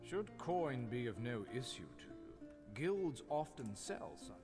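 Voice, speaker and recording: A plain-spoken master cook from a fantasy video game. He teaches in a matter-of-fact way with lively, varied pitch. Music plays clearly behind him. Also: measured pace, in a large hall. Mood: instructive. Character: instructive male cook mentor, plain-spoken fantasy tradesman. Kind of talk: video game character acting.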